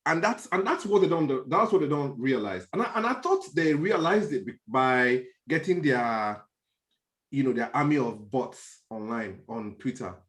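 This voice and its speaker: A man's voice: mid-range voice, animated, moderate pace, a bit bright. A man with a Nigerian accent in a podcast discussion, speaking emphatically and restating his points. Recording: roomy, echoing sound.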